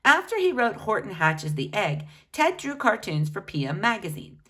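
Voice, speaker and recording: A woman reading a biography aloud for children in an expressive, teacherly way. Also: medium pitch, normal speed. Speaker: children's book reader.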